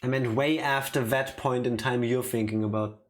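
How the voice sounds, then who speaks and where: A man's voice: medium pitch, steady tone, normal speed. Wry man commentating on a game stream. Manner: wry, teasing. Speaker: let's play streamer. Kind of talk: casual joking game commentary.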